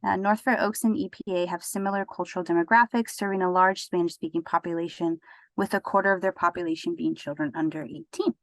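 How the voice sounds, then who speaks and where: A woman's voice: on the low side, fairly even intonation, fast, no echo, rough recording. A woman branch manager giving a webinar presentation, reading steadily from her slides.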